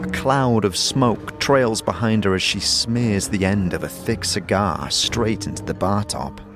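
A man narrating a scripted fantasy audio drama in a dramatic, second-person storytelling style. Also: mid-range voice. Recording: music behind the narration. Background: music.

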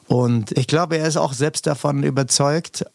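A middle-aged man from Brandenburg speaks in a gravelly, fry-edged voice at an ordinary pitch. He talks as a musician in an interview, thoughtful and meandering, with frequent fillers. The sound is dry and close. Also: steady tone, normal speed, dry recording. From Brandenburg, Germany. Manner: thoughtful, rambling with many fillers. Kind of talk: relaxed interview answers.

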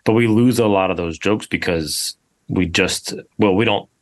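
A man hosting a podcast in casual chat, haltingly feeling his way through long questions. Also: low voice. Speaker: podcast host, interviewer.

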